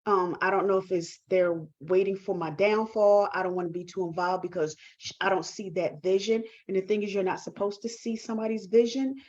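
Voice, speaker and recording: A woman giving reflective interview answers as a guest, speaking earnestly and haltingly with repeated words. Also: medium pitch, steady tone, a little mellow, dull audio. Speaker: talk show guest, performing artist.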